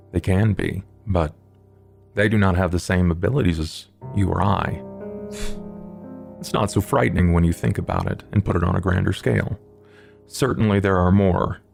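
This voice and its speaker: A man in a tabletop game playing a huge old vampire who speaks formally, with measured calm and quiet threat. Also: sits low, creaky, slightly rough, exaggerated voice. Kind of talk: tabletop roleplay in character. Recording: music bed under the voice.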